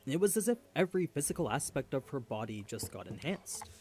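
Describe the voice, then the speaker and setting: A man's voice: mid-range voice, sing-song, a little fast, darkish tone, a little hiss or hum. A man reading scripted explainer narration for a video.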